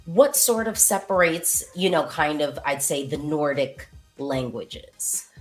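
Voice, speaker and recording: A woman's voice: low voice, takes it slowly, soft background music, rough recording. An American woman interviewing a guest in a casual, chatty way, her questions full of fillers. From United States.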